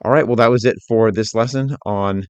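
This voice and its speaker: A man working as an instructor, narrating a software tutorial in a methodical, informal, step-by-step way. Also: lowish voice, a little fast, clean tone, no room sound, muffled recording.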